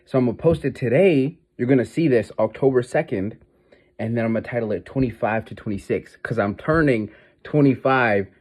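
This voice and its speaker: A man's voice: mid-range voice, fairly quick, darkish tone. A man in his twenties talking in a casual, confessional vlog monologue, reflective and hesitant as he rambles through his thoughts. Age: 20s. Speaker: content creator.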